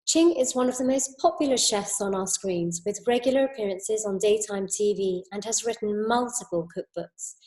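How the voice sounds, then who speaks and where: A woman's voice: medium pitch, normal speed, hardly any pauses, darkish tone. A woman hosting an online interview, opening with a scripted introduction and asking friendly questions. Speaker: interview host.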